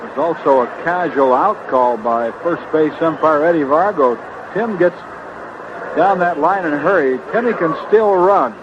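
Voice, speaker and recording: Higher-voiced American baseball commentator, noisy recording. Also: male voice, a little slow. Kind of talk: live sports commentary. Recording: muffled, noisy vintage baseball broadcast.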